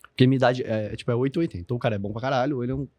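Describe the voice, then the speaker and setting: A man in his twenties with a Brazilian Portuguese accent talks quickly on a podcast. He is slangy and swears freely, piling on fillers. The sound is dry and close. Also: medium pitch, dark tone, no echo. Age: 20s. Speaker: podcast guest, business founder. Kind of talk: casual podcast chat.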